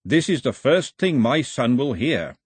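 Guy doing a proud loud island dad, firm, husky voice. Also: medium pitch, very animated, unhurried, no echo, clean studio sound, voice pushed up. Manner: firm, emphatic. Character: proud islander father, forceful and boastful.

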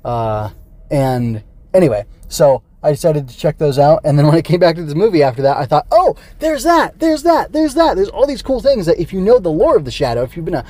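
A man's voice: fairly high pitch, big pitch swings, brisk, darkish tone, no room sound. A middle-aged man talking casually and digressively as he hosts a solo podcast review. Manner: informal, digressive, chuckles through asides.

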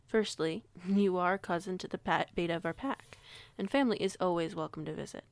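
A low female voice with heavy fry, recorded close and dry, gives us a werewolf pack leader, acted by a woman in an audio roleplay. She is composed and kindly, with formal, measured authority. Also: no room sound, lightly acted. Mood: patient, explanatory.

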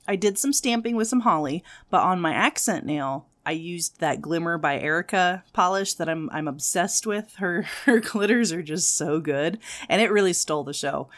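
A woman co-hosting a podcast in casual chat, laughing often as she talks. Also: mid-range voice. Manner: giggly, animated, laughs often. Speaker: podcast co-host.

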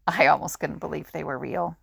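A woman playing an earnest, hesitant visionary artist in an amateur audio drama, telling things in a reflective, halting way. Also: low-pitched, steady tone, creaky, husky, dry recording, clean studio sound, full character voice. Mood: reflective, uneasy.

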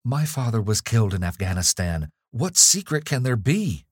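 A man's voice: on the low side, expressive, moderate pace, darkish tone, breathy edge, no echo, studio quality. A man voicing a frightened young noblewoman who pleads urgently and raises her voice in a gothic storybook reading. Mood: imploring. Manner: pleading, urgent, near screaming.

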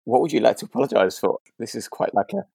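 A man's voice: medium pitch, lively intonation, fast talker, creaky, warm tone, husky, dry recording, clean studio sound. A British man chatting casually as a podcast co-host in an informal, rambling, hesitant way. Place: remote call from home.

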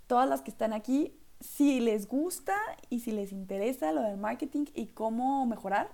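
A young woman from northern Mexico hosts her own podcast, speaking with a clear northern Mexican accent. Her voice sits a little high but has a mellow, gravelly fry to it. She talks in a loose, chatty way, circling back with fillers and asides. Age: young adult. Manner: chatty, rambling, lots of fillers like "literal" and "¿saben?". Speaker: podcast host. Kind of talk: casual solo podcast monologue.

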